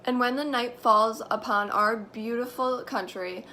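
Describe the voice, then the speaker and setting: A teenage girl giving a mock-serious school presentation, telling a made-up story in a deadpan, mock-formal way. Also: on the high side, unhurried, background noise. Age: teens. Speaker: student presenter.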